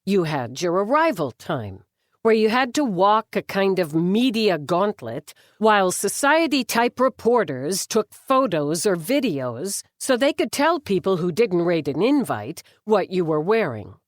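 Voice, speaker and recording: A woman's voice: medium pitch, sing-song, measured pace, dry recording. A woman reading fiction as an audiobook narrator, with a wry, dry delivery.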